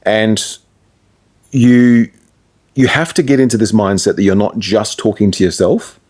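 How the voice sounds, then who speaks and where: A man's voice: fairly low pitch, fairly even intonation, unhurried, a little mellow. An Australian man, a radio presenter, chatting casually as an interview guest in a rambling, reflective, anecdotal way. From Perth, Australia.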